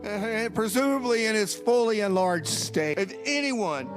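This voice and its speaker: A man acting as an aggrieved speechmaker in a comedy radio play, his voice notably high. He orates with melodramatic self-importance and pleading. Music plays clearly behind him. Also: animated, measured pace. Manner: pompous, oratorical, pleading. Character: aggrieved, melodramatic man giving press conference plea.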